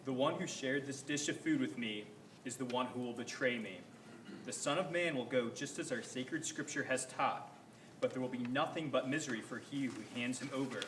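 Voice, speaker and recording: A man's voice: mid-range voice, normal speed, a bit bright, close to an everyday voice. A man reading the part of Jesus, a grave and sorrowful teacher, in a reader's theater performance of scripture. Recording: echoey room, faint background noise.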